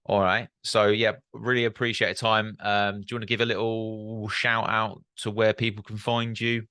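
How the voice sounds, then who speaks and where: A young man hosts a relaxed podcast chat with a low but bright, forceful tone. He hedges, restarts and tacks tag questions onto his remarks. The sound is close and dry. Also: moderate pace, smooth, dry recording. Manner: hesitant, many fillers and restarts, tag questions. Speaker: podcast host. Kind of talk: casual interview chat.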